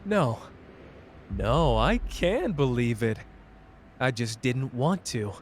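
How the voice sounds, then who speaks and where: A man's voice: mid-range voice, big pitch swings, slow, a little hiss or hum. A man playing a fierce, protective young sorcerer who feels betrayed and is in love, in an emotional, intimate anime roleplay addressed to the listener. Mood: hurt, angry. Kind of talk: dramatic ASMR character roleplay.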